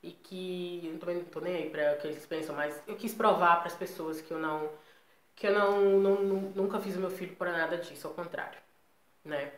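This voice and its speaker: A woman's voice: on the low side, fairly even intonation, a little fast, echoey room. A Brazilian woman with a Brazilian Portuguese accent, talking in a chatty, emphatic way and often adding tag questions, in a casual personal vlog to her viewers. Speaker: vlogger.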